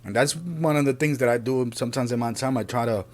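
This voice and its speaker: A man, born in Puerto Rico and raised in the Bronx, giving an earnest, unscripted opinion monologue as a podcast host, often halting and repeating himself. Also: medium pitch. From Puerto Rico, raised in the Bronx, New York City.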